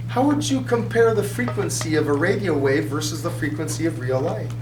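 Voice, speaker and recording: A middle-aged man teaching a high school physics class, chatting with students and often checking they follow. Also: fairly quick. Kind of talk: classroom lecture. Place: classroom at a whiteboard. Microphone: far camera. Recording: echoey classroom from far off with hum.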